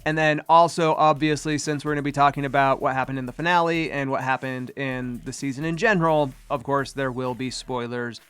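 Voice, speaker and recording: A man's voice: medium pitch, steady tone, brisk, hardly any pauses, fairly bright tone, clean tone, no room sound, soft background music. A man hosting a casual video commentary, chatty and upbeat, his voice slightly congested as he recovers from a sinus infection. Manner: chatty, upbeat and rambling, with fillers. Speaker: host.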